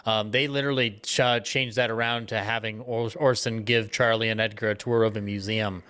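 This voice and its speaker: A man's voice: lowish voice, level tone, fairly quick, pushed and bright, clear, resonant tone. A man speaking as a panelist in a casual discussion, offhand and a little hesitant. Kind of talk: casual panel discussion about old radio.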